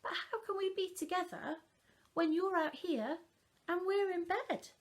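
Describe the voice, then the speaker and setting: A woman's voice: very high-pitched, measured pace, voice pushed up. A woman voicing a curious, anxious little girl with a wide-eyed, questioning manner in a children's story. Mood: puzzled, curious.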